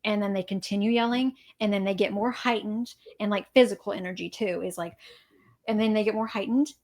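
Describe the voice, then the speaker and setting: A woman's voice: medium pitch, fairly even intonation, fairly quick, a little mellow. Young woman therapist guest, explains patiently, acts out little examples. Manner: explanatory, acts out example dialogue, frequent affirmations. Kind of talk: casual interview.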